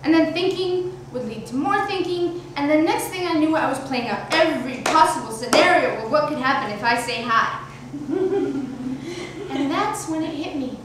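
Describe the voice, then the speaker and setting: A woman from Mexico with no accent, performing a one-woman comic storytelling show on a small theater stage in a wry, self-deprecating way. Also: high-pitched. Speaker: solo performer. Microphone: distant room mic. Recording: echoey hall taped from far off, noisy.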